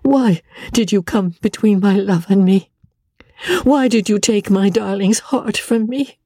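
A woman reading aloud as a hurt young wife who accuses her false friend, speaking in a breathy, trembling voice. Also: fairly low pitch, expressive, slow pace, a little mellow, no echo, clean studio sound, voice pushed up, exaggerated voice. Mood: reproachful.

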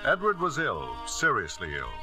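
A man's voice: mid-range voice, huge pitch swings, moderate pace. Devoted father, emphatic and determined, faltering under worry; old radio broadcast with prominent music. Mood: grave. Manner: emphatic, repeats himself, falters when anxious. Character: devoted, driven father of a sick son, narrating his past. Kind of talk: 1950s radio drama acting.

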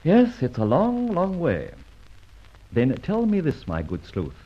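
An actor playing a breezy, teasing gentleman adventurer in a mid-century radio drama, with flippant, theatrical banter and old-fashioned British slang. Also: on the high side, wildly swooping pitch, slow pace, heavily acted. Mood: brisk, bantering. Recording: dull, muffled old radio recording.

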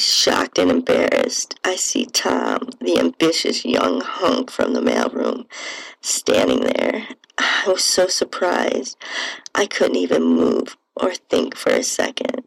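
A woman narrates a first-person story in a breathy, husky voice full of gravelly fry. Her delivery is sultry and slow, with pitch that swings widely from low creak to lighter tones. It sounds intimate, like an audiobook. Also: no echo, low-quality recording. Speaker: podcast host and narrator.

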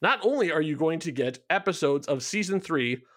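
Male podcast guest, show creator type: fairly high register, dry sound, eager and discursive, casual promotional chat. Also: expressive, normal speed, a bit bright, dry recording. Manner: enthusiastic, rambling explainer. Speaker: show creator being interviewed.

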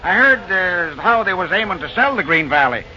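A man's voice: sits high, sing-song, brisk, bright, forceful tone, exaggerated voice. An actor playing an easygoing, talkative drifting railroad man with rustic, folksy speech in an old-time radio drama. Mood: earnest. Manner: folksy backwoods speech, drawling rustic grammar. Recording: noisy, dull early radio recording.

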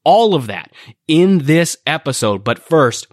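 A man's voice: fairly high pitch, measured pace, no echo, studio quality. A man hosting a sports recruiting podcast, talking energetically and emphatically while fighting a cold that makes him sound nasal. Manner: energetic, emphatic, repeats phrases for stress. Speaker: podcast host. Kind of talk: recruiting news podcast monologue.

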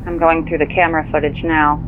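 A woman playing a brisk, dry, efficient assistant in an audio drama, heard through a phone filter. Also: low voice, flat delivery. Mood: serious. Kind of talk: naturalistic audio drama acting. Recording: tinny phone line, loud car hum.

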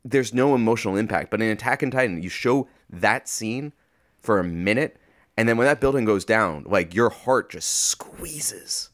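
A man's voice: fairly low pitch, expressive, moderate pace, fairly bright tone. A man talking casually as a podcast guest, informal and often searching for his words. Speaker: podcast guest, audio fiction creator. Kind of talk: casual interview chat.

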